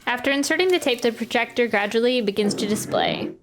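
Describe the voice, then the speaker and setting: A woman's voice: on the high side, a little fast, background noise. A woman livestreaming a game, chatting casually in a hesitant, word-repeating way and reading game text aloud. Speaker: game streamer.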